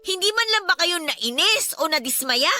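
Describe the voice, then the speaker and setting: A woman's voice: extremely high pitch, lively intonation, moderate pace, pushed and bright, heavily acted. A Filipino woman playing a frustrated, outspoken eldest daughter in a radio drama, indignant and emphatic in her delivery. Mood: earnest, hurt. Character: frustrated, outspoken adult eldest daughter, dutiful but hurt. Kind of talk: Tagalog radio drama acting. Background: background music.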